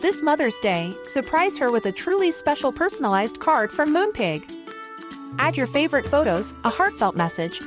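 A woman reading a scripted, upbeat advert as a voice-over. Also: high-pitched. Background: music.

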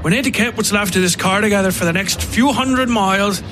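A man narrating puts on an officious little train manager from a dark audio drama. His voice is raised in pitch, brisk and wearily sardonic, with music underneath. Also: lightly acted. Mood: weary, curt. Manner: brisk, businesslike, foul-mouthed.

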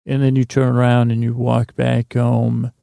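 A man hosting a sleep podcast in a lulling, soothing tone, meandering haltingly through a bedtime monologue with stammers and repeats. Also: medium pitch, completely flat delivery, vocal fry, dark tone, no echo, clean studio sound. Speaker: podcast host. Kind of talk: rambling bedtime monologue to lull listeners to sleep.

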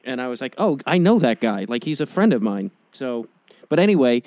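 Male interview host, rambling and casual with easy swearing; lively intonation, dry close sound. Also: medium pitch, brisk, no room sound. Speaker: podcast host.

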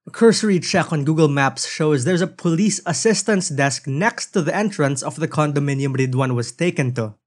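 A man's voice: fairly high pitch, animated, normal speed, few pauses. A man with a Filipino accent narrating a news podcast from a script, with conversational asides. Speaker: podcast host and reporter.

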